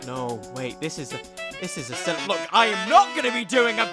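A man's voice: high voice, wildly swooping pitch, a little fast, music in the background. A young man hosting an informal solo podcast, rambling along in a jokey, self-deprecating way. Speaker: podcast host.

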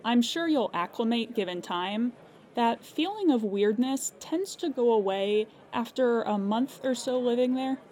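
A woman plays a female emergency hotline operator in an audio comedy drama. She sounds composed and courteous, with a dry, put-upon customer-service manner and a middling pitch. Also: measured pace. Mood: calm, polite. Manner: calm customer-service politeness with dry exasperation.

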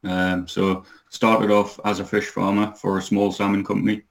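A man's voice: lowish voice, completely flat delivery, moderate pace. A man in his thirties from Newcastle in England, chatting as a podcast guest and hesitating often. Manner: hesitant, many ums and uhs, easygoing. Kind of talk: casual interview.

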